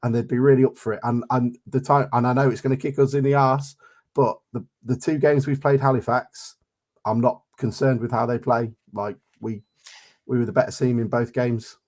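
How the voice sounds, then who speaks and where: A man's voice: mid-range voice, level tone, moderate pace, darkish tone. An English man co-hosting a football podcast, chatting casually with blunt, jokey banter. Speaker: podcast co-host. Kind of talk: casual chat.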